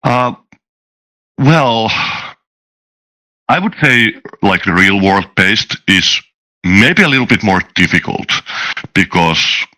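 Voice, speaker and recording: Deep-voiced man with a bright edge. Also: expressive, slow, pauses often, poor audio. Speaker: tabletop game designer and game master. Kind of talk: casual panel discussion.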